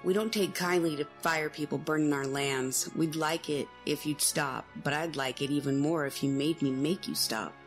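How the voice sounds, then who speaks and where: An actor playing a defiant young royal hero, protective and threatening, in a fantasy audio drama. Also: male voice, fairly high pitch, normal speed, hardly any pauses, soft background music, lightly acted.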